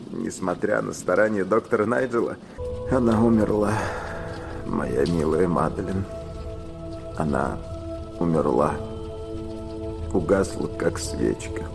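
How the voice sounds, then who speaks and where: A man acting out a frail, doomed nobleman in a radio play, his gravelly voice dragging slowly while his mood lurches between giddy laughter and grief. Faint music runs under him. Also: sits low. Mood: mournful. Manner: swings from giggling to mournful. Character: frail melancholy nobleman convinced he is dying.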